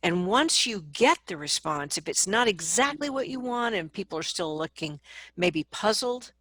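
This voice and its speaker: A woman speaking as a vocal coach in an interview, explaining advice conversationally and acting out examples in an animated manner. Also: mid-range voice, moderate pace.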